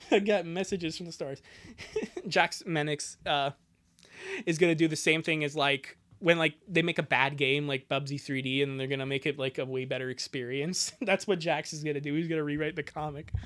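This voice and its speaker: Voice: mid-range voice, a bit bright. A livestreamer reading a fan fiction comic aloud live, with sarcastic, exasperated, often crude joking commentary. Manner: sarcastic, exasperated, breaks into outbursts.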